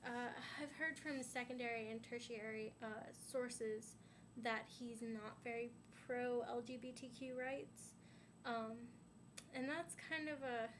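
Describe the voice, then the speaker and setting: A young woman talks to her camera in a loose, rambling way, her fairly high voice full of "um"s and "like"s. She sounds a little stuffy and sniffly from a sinus infection. A faint hiss sits under the recording. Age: young adult. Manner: rambling, many "um"s and "like"s. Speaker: vlogger. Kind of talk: casual chat to camera.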